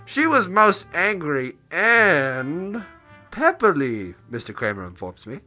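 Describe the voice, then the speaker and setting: An actor playing a pompous, imperious armchair detective in 1940s radio drama, speaking formally and deliberately. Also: male voice, fairly high pitch, sing-song, pushed and bright, soft background music, exaggerated voice. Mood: reproving.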